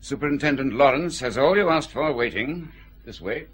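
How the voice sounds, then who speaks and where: A male actor plays a senior police officer in a radio detective drama. He is brisk and commanding, with an animated middle-pitched voice. There is a faint hum behind him. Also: close to an everyday voice.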